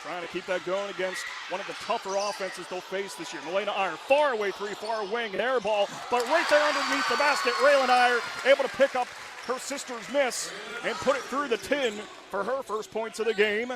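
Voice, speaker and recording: A man calling live high school basketball play-by-play for radio from a gym, with quick, excited calls that rise to shouts on big plays. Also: crowd noise. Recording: radio broadcast with loud gym noise.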